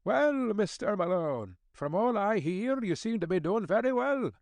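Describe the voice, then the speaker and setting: A man's voice: high voice, very animated, unhurried, darkish tone, no room sound, voice pushed up, full character voice. A man voicing a crabbed but kindly old Scottish news editor, fussy and avuncular, in an audiobook. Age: older. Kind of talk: audiobook character dialogue.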